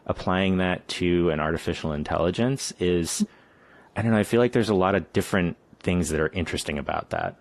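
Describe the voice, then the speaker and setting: A man hosting an interview, asking thoughtful, measured questions about poetry. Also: sits low, big pitch swings, vocal fry, no echo. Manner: thoughtful, measured, frequent "mm-hmm". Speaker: podcast host.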